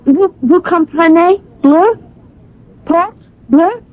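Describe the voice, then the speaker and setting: A woman's voice: extremely high pitch, slow, dry recording. An actress playing a lovelorn, anxious young woman in a radio drama, earnest and wistful. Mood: pleading, agitated. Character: lovelorn, anxious young woman adrift abroad, narrating her story. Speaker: lead actress and narrator. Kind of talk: radio drama acting and narration. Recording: narrow-band old radio broadcast, music.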